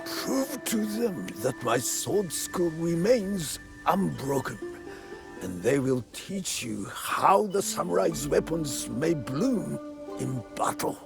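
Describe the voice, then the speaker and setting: A man playing a wise, stern old samurai sword master in a video game, speaking in a measured, sage way. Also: on the high side, very animated, vocal fry, full character voice, poor audio. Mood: solemn. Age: older. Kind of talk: video game cutscene acting. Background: music.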